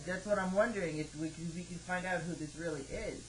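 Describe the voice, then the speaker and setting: A man in his twenties talking haltingly and questioningly, like a customer service caller on a phone call. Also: high-pitched, fairly bright tone. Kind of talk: hesitant phone conversation. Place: home office, indoors. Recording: noisy home computer microphone recording.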